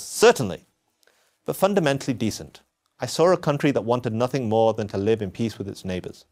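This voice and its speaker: A man from England, giving an earnest, measured scripted narration of his own story. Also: mid-range voice, darkish tone. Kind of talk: scripted first-person narration.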